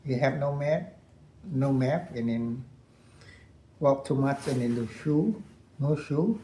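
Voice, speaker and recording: An older man with a Lao accent, a retired colonel recounting his war years in halting English, repeating phrases and laughing often. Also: mid-range voice, mellow, echoey room, slight background noise. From Laos. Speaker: interview guest, veteran colonel.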